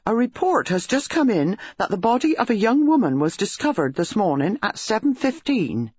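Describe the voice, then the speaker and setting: A reader voicing a police constable who delivers a formal, measured report over the phone. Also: medium pitch, big pitch swings, darkish tone, husky, no room sound, lo-fi sound, voice pushed up.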